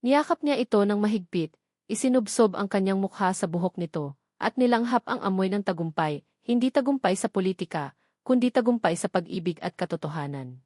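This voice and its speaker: A woman with a Filipino accent narrating a scripted love story in a measured, sentimental way, in short dramatic phrases. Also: medium pitch, animated, a little mellow, no echo, studio quality.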